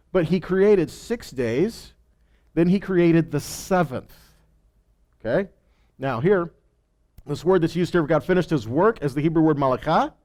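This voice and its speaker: A man with a high-pitched, very lively voice teaches scripture in an informal sermon. He speaks emphatically, swinging widely in pitch, and often puts short rhetorical questions to his listeners. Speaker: preacher and Torah teacher.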